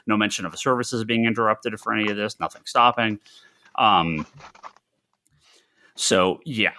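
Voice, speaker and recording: A man with a lowish, bright and forceful voice, talking as a podcast co-host. He thinks aloud in a halting way, repeating words for emphasis, in a casual news-chat style. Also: fairly even intonation, a little hiss or hum. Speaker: podcast co-host who runs a comics store.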